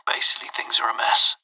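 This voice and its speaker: An actor playing a worried man in crisis, leaving an urgent, pleading phone message with exasperated sighs. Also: bright, forceful tone. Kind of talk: audio drama phone message. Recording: distant-sounding phone message.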